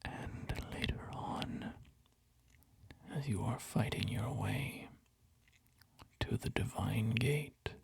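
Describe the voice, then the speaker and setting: A man narrating game lore in a soft, unhurried whisper. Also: lowish voice, creaky, husky. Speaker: storyteller.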